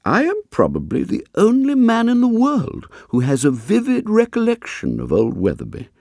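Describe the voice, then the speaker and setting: An old English schoolmaster from a storybook. His voice sits high and jumps about in jerky, sprightly bursts. It swoops widely in pitch and sounds close and dry. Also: wildly swooping pitch, normal speed, vocal fry, a little mellow, no echo, heavily acted. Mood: reminiscing. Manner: sprightly sing-out delivery.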